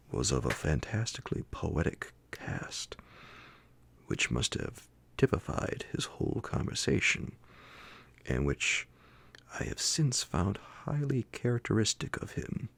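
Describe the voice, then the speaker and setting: A man narrates horror fiction in a hushed ASMR bedtime style. His voice is extremely deep and full of gravelly fry, half-whispered and breathy. He takes his time, phrasing very slowly and deliberately, close to the microphone. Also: no room sound. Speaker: narrator reading classic horror fiction aloud. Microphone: close, dry microphone.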